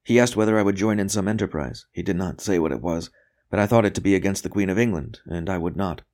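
Captive priest in a storybook reading, played by a man: murmured, halting, evasive answers, scared but steadfast. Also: sits low, close to an everyday voice. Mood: hesitant, evasive. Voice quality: hushed, breathy murmur.

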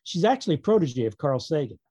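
A man speaking casually as an interview guest, in a halting, digressive way with wry humor. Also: a little high, very animated, warm tone. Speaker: guest author and producer.

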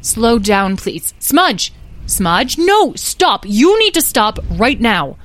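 A woman's voice: fairly high pitch, steady tone, takes it slowly, pitched above the performer's own voice. A woman voicing a firm, protective mother dragon in a children's story, with a commanding maternal manner. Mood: alarmed, stern.

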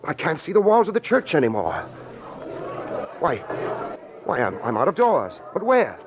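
An awestruck reporter in a vintage radio drama. His delivery is theatrical and halting, with very lively swings of pitch. The old recording is dull, with clear noise throughout. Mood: amazed. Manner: dramatic, wonder-struck, halting. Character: awestruck male radio reporter transported to ancient Jerusalem.

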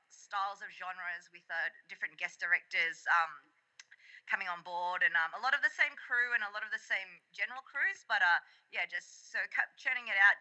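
Australian woman guest, very bright voice, a bit muffled remote line, rambling with ums. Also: medium pitch. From Melbourne, Australia. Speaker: podcast guest, actress. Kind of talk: casual interview chat.

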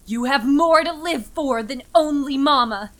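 A woman's voice: high voice, unhurried, fairly bright tone, pitched above the performer's own voice. A woman voicing a frightened, desperate girl in an audio drama, urgent and pleading. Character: Colleen, desperate frightened girl fighting for her family. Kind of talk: audio fiction character acting.

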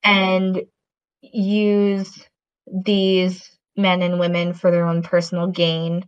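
A woman's voice: on the low side, monotone, slow, clear, resonant tone. A woman in casual conversation who rambles, with many fillers and restarts. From Latin American heritage. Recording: dull lo-fi audio.

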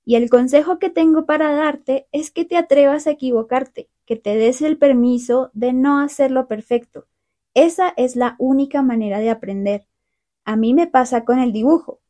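A young woman hosting a learner podcast, talking through a calm, clear, scripted monologue. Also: on the high side. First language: Spanish. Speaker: podcast host.

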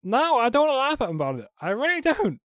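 A man's voice: very high voice, huge pitch swings, fast talker, a bit bright. A man co-hosting a casual podcast chat, his voice run through a voice modulator. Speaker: podcast co-host.

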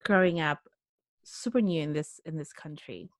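A woman's voice: low-pitched, moderate pace, no room sound. A woman hosting a podcast interview, asking questions and affirming her guest with many yeses and mm-hmms. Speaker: podcast host. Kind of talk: casual interview chat.

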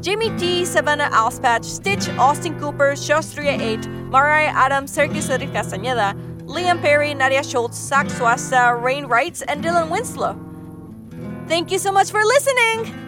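High-voiced woman reading podcast credits. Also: lively intonation, normal speed, music in the background. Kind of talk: scripted credits narration.

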